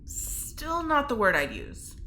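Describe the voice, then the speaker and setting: Snarky young prisoner, bright forceful voice, animated intonation, curt, dismissive, audio drama. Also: female voice, sits high, slow, a little hiss or hum, exaggerated voice, poor audio. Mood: dry, grudging. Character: blunt, sardonic, easily disgusted young prisoner.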